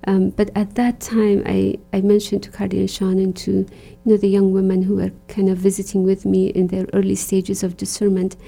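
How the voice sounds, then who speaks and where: A woman from Iraq, a religious sister, talking in an interview with a very dark, gravelly voice that sounds slightly husky. Her delivery is earnest and reflective, full of soft fillers and small pauses. Her pitch stays flat and even. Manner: reflective, earnest, many fillers like "you know". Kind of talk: conversational interview.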